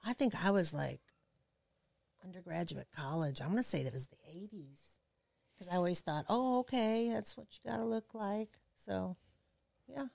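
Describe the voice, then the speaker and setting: A woman's voice: medium pitch, normal speed, gravelly, long pauses, a little mellow, no room sound, studio quality. A woman casually chatting and reminiscing as a podcast guest, playful and wry.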